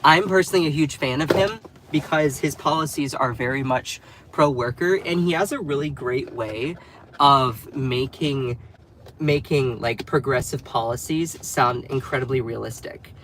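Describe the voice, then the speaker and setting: A man with a slightly nasal, stuffed-up voice from a cold hosts a casual political livestream, talking straight to his chat. His pitch sits in an everyday middle range. A faint hiss runs under the recording. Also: moderate pace, a bit bright. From North Carolina. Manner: chatty, addresses the live chat directly. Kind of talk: casual live commentary.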